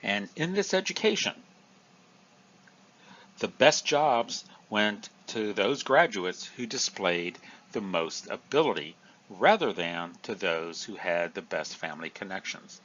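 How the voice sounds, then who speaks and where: Lively, bright-voiced male history teacher. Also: fairly low pitch, unhurried, lo-fi sound. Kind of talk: recorded review lesson.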